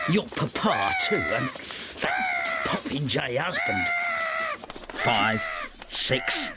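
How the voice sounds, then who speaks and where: An actor playing a vengeful, ageing husband in a radio drama, brooding and spiteful, with a gravelly voice. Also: male voice, mid-range voice, background noise, full character voice. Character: bitter, vengeful ageing husband devoted to his cat. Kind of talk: first-person radio drama narration and dialogue.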